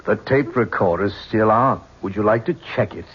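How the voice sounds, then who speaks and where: Husky, smug, ruthless tycoon. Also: male voice, lowish voice, normal speed. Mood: cold command. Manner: cold, condescending. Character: ruthless, smug, domineering male business tycoon. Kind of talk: old-time radio drama acting. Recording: muffled old radio broadcast.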